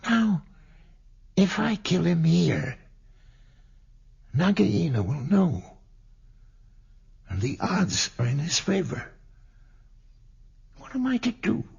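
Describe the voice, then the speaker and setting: A man voicing a brave, anxious young male mongoose in an old radio story, high and urgent. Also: very animated, slow pace, gravelly, pauses often, darkish tone, husky, muffled recording, exaggerated voice. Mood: anxious, plotting. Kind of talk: 1940s radio acting.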